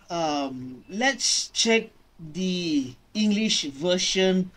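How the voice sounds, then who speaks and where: A young man thinking aloud haltingly in casual reaction commentary for gaming videos. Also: medium pitch. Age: young adult. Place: small bedroom.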